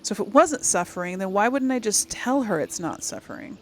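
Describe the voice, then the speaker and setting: A woman's voice: medium pitch, animated, brisk, slight background noise. A woman doing a casual let's play, thinking aloud and reading game dialogue options as she role-plays. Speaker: gaming channel host role-playing a video game.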